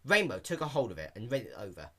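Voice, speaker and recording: A man narrates fan fiction in an amateur, scripted reading. His voice is bright and sharp, with hugely varied intonation. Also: lowish voice, sing-song, fast.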